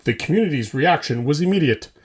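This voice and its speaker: A man's voice: a little high, animated, quick pace, breathy edge. A man hosting a scripted mock news show, delivering it like a theatrical, mock-serious newscaster. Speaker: host of a hobby news show.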